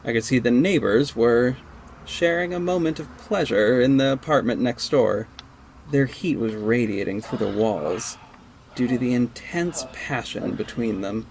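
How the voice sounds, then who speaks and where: Male lead for superhero audio drama: actor plays guarded, sardonic vigilante, mid-pitched, terse, over noisy ambience. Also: darkish tone, lightly acted. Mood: wry, brooding. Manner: measured, terse, exasperated. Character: guarded, sardonic costumed vigilante, heartbroken and stubborn. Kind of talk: first-person audio drama narration and dialogue.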